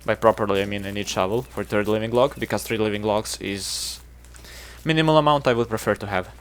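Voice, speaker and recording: A man's voice: on the low side, a little fast, clean tone. A man from Serbia with a Serbian accent, chatting casually to his viewers while streaming a game, rambling and self-deprecating. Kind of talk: casual livestream chatter with chat.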